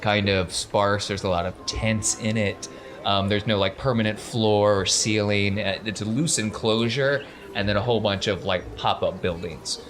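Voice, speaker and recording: A man's voice: fairly low pitch, steady tone, moderate pace, fairly bright tone, slight background noise. A man narrating a tabletop game as game master in a casual, improvised, wry conversational way.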